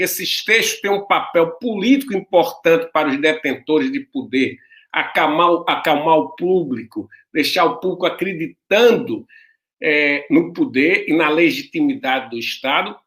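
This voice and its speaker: Recife-accented man, bright high voice. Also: echoey room. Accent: Pernambuco Portuguese, Recife. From Recife, Brazil. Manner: measured, lecturing, with hesitant restarts. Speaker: guest, legal scholar. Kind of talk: interview answer, explaining theory.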